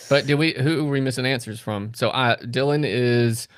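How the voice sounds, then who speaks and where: A man's voice: mid-range voice, moderate pace, smooth, no room sound. A man in his thirties hosting a stream and chatting casually about games. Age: 30s. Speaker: comedy stream host. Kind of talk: casual gaming chat.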